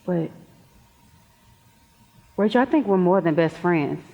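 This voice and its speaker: Stage drama teen, young female actor: insistent, sincere, unhurried delivery, mid-pitched, muffled recording. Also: expressive, vocal fry, heavily acted. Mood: earnest, nervous. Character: earnest, insistent teenage girl, best friend. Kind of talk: stage play acting.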